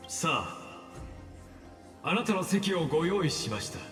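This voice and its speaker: Courteous theatrical narrator in cavernous reverb. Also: male voice, fairly high pitch, big pitch swings, a little fast, fairly bright tone. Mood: ceremonious. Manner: formal, polite, ceremonious. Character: courteous theatrical male narrator-usher of a fated tale. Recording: echoey long hall reverb, game music.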